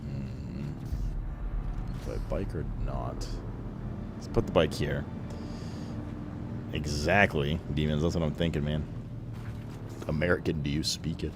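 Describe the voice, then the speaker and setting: Deep-voiced man, Romanian-born, profane rambling streamer over heavy game noise. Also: fairly even intonation, unhurried, pauses often. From Canada. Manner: rambling, profane, joking banter. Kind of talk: casual gaming stream chat. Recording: loud game noise behind voice.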